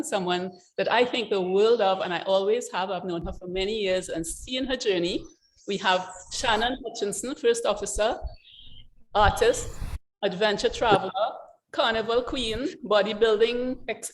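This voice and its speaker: A woman hosts an online group gathering and gives her guest a glowing, heartfelt introduction over a video call. Her voice sits at a middle pitch. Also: rough recording. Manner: warm and effusive in her praise. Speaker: host and founder of the group.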